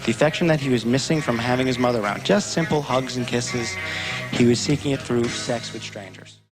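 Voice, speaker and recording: A man's voice: medium pitch, brisk, over music, rough recording. A man telling an earnest, emphatic account about someone he knows. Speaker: interviewee describing a friend.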